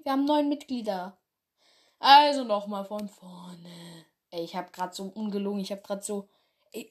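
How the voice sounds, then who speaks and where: A woman chatting casually in her bedroom, giggly and rambling, laughing at her own mistakes. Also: on the high side. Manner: giggly, rambling, self-deprecating. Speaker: host of a fan clan roleplay channel.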